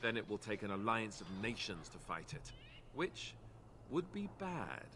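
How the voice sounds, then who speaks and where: A man's voice: lowish voice, big pitch swings, measured pace, noisy background. A man playing a wry, good-natured young warrior in a fantasy video game, with understated, earnest delivery. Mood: dry, candid. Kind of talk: video game dialogue acting.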